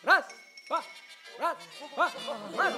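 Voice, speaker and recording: A man's voice: very high voice, extremely slow, over loud music, full character voice. An actor playing a tiny, proud human performer among giants in a radio play, declaiming like a showman. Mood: brisk, showy. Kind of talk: Soviet radio play acting.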